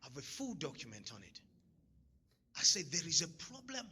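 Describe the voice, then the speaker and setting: Bright-voiced Zambian man preaching in a hall. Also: fairly high pitch, huge pitch swings, normal speed, slight background noise. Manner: emphatic, repeats key phrases, laughs at own jokes. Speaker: motivational speaker. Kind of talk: motivational talk to an audience. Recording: long hall reverb.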